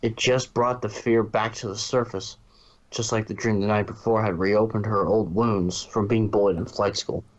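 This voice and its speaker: Low-voiced male story narrator, slightly muffled. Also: fairly even intonation, normal speed. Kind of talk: narrated fan fiction reading.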